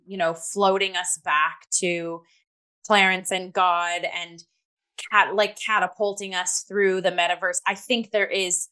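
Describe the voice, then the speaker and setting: A woman in a casual review discussion, hosting and talking in an animated, emphatic way with many filler "likes". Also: lowish voice. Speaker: theatre review show host.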